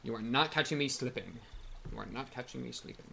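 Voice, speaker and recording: A game streamer with a bright, forward voice at medium pitch, reacting casually and reading narration aloud. The talk is slangy and wry, with faint game ambience behind it. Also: fast talker, creaky, a little hiss or hum. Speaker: streamer playing and reacting to a visual novel.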